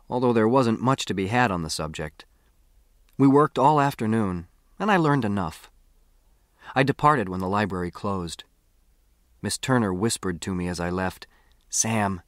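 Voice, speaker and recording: A man's voice: medium pitch, no room sound, studio quality. A man with an American accent reads a first-person story as an audiobook narrator.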